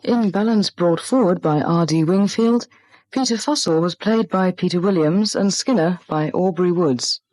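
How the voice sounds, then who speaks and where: A British woman reads scripted credits as a radio announcer. Her voice is a little low and formal, recorded close and dry with no room sound. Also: moderate pace, darkish tone, dry recording, low-quality recording.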